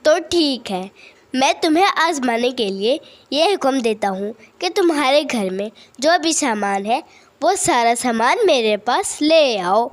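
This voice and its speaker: A woman's voice: high voice, expressive, unhurried. A woman voicing a devilish, scheming male crow with a gloating, swaggering manner in a cartoon. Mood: commanding.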